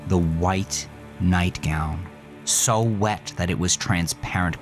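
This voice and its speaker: A man narrating a horror story with brooding intensity. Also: low-pitched.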